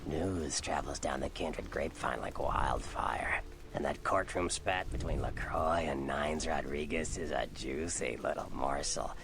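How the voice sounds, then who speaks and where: Sly video game Nosferatu, rock-bottom gravel voice, knowing whispery drawl, some background noise. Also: male voice, unhurried, fairly bright tone, full character voice. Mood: sly, amused. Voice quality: very deep, gravelly, slipping into a hoarse whisper. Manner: knowing, drawling, smugly amused. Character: sly, amused male Nosferatu vampire, gremlin-like monster in hiding. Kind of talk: video game character acting.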